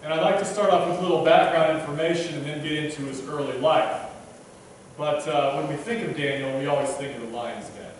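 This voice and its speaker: A man's voice: on the high side, steady tone, brisk, slight background noise. A man in his thirties giving a casual sermon at a church pulpit, chatty and self-deprecating, stumbling over his words now and then. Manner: conversational, many restarts and fillers, self-deprecating. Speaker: preacher. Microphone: pulpit microphone, filmed from a distant camera. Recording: echoey church from far off.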